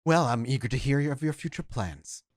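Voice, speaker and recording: A storyteller voicing a condescending, genteel male vampire elder who speaks softly and patronizingly. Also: mid-range voice, animated, normal speed, no echo, studio quality, close to an everyday voice. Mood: courteous. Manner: patronizing, soft-spoken.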